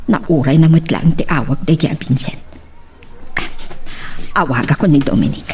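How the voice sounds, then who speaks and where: An actress with an Ilocano accent playing a sharp, teasing married boutique owner in a theatrical, animated radio drama style. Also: female voice, deep voice, measured pace, background noise. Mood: scolding, exasperated.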